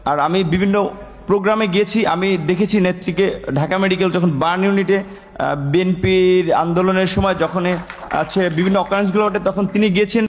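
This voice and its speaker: A man's voice: sits high, fairly even intonation, fast. A Bangladeshi man giving a spontaneous account in a hesitant, rambling manner. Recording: long echoing hall reverb.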